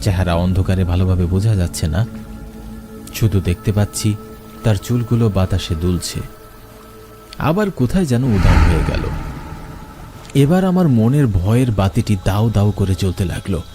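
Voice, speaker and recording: A man's voice: deep voice, expressive, moderate pace, pauses often. A man narrating a first-person horror story in a dramatic, suspenseful way. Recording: music bed under the voice.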